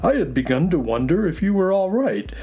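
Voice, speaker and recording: Man voicing an animated, friendly space horse. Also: high-pitched, fairly quick, slightly rough, faint music behind, heavily acted. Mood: warm, eager. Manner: expressive, courteous, exclaiming. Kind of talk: scripted children's audio story acting.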